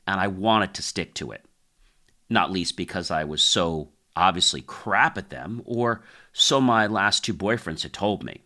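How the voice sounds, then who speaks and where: A man narrates a novel in the first person with a bright, forward voice. His delivery is highly animated and expressive, with wide movement in pitch and measured, deliberate phrasing suited to dramatic audiobook fiction.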